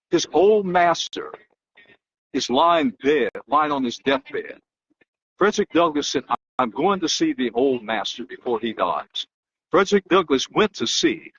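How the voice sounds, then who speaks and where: Husky man delivering animated, dramatic oratory. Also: mid-range voice. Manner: emphatic, declamatory, with dramatic pauses and repetition. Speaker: political analyst and host. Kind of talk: dramatic speech reading and oratory. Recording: dull, lo-fi muffled sound.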